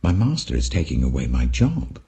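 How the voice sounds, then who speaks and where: A man's voice: extremely low pitch, huge pitch swings, moderate pace, creaky, dark tone, voice pushed down, heavily acted. A man voicing a shrewd, anxious household manager in a scripture parable, musing and scheming. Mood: worried musing.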